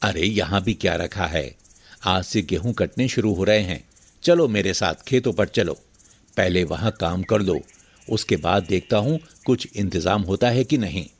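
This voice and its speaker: A callous rich village farmer voiced by a man in a cartoon moral tale. His voice sits fairly low and has a husky rasp. He talks in a gruff, scornful, dismissive way. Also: measured pace, full character voice. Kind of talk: moral story cartoon dialogue.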